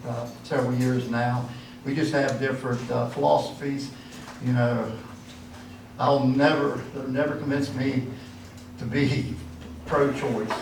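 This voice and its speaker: Older guy, gravelly voice, rambling folksy speech, echoey hall from far off. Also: medium pitch, background noise. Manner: folksy, rambling, with frequent fillers. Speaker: political candidate. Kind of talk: campaign speech at a dinner. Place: church hall at a dinner event.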